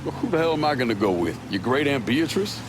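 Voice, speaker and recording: An actor playing a laconic, wary young American war veteran in a video game, speaking in dry, casual slang. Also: male voice, mid-range voice, sing-song, fast talker, natural voice. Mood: dry, teasing. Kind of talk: acted game dialogue, casual banter. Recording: car engine noise behind. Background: moving car ambience.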